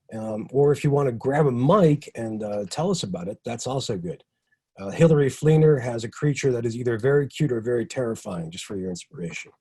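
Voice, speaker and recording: A man hosting an online workshop session over a video call, reading out comments in a halting way with many ums and uhs. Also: mid-range voice, mellow, breathy edge. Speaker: session host.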